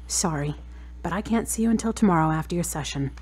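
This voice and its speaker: A woman's voice: on the low side, lively intonation, fast talker, darkish tone, slightly rough. A woman playing a proud, hurt girlfriend in an audio drama, with a cool, cutting, pointed way of talking. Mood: guarded, softening. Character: proud, hurt girlfriend demanding a proper apology.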